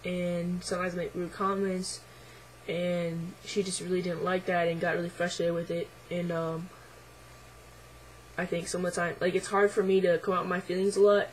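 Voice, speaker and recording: A man's voice: level tone, normal speed, a little hiss or hum, low-quality recording. Young man with a changing voice. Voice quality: voice midway through deepening, a bit unsettled. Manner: hesitant, lots of ums and likes, quiet and reflective. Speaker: vlogger. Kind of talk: casual personal video diary.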